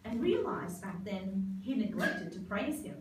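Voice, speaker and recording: Casting: female storybook narrator, scripted verse, slightly high, distant in a noisy, very reverberant room. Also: sing-song, measured pace. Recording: very echoey, distant, noisy live hall.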